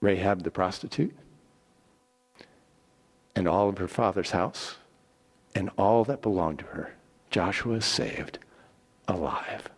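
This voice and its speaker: A man giving a dramatic scripted scripture narration, deliberate and theatrical, with weighty pauses. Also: low-pitched, slightly rough. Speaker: scripture reader. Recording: echoey hall with long reverb.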